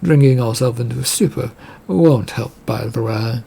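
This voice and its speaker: Gravelly, scheming businessman voiced by a man. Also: mid-range voice, normal speed, mellow. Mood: wry, brisk. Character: scheming, well-connected businessman, wry and confident.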